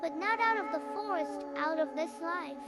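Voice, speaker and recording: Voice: extremely high pitch, moderate pace. A performer voicing a small, vicious forest creature who makes its threats in a matter-of-fact tone. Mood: menacing. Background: loud music.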